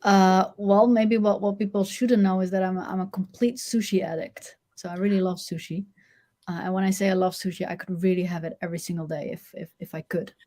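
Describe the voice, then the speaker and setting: A woman's voice: mid-range voice, steady tone, a little fast, dark tone. A woman from the Netherlands with a mixed Dutch and international accent, a guest chatting casually with many fillers and restarts. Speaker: agency CEO guest.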